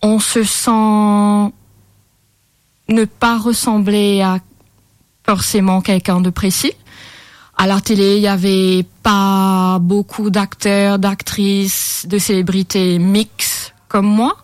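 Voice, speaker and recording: A woman's voice: medium pitch, level tone, slow, a little mellow, no echo. A woman from Mauritius, a guest on a radio show, talking hesitantly with many fillers and a few English words mixed in. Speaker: guest, grief recovery specialist. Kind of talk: radio interview. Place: radio studio.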